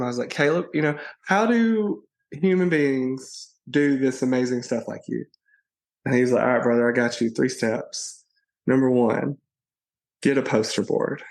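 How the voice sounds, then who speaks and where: A playful man being interviewed, with a gravelly, creaky edge running through his medium-pitched voice. He talks in a bubbly, casual way and laughs often. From Alabama, USA. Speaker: guest, social media personality.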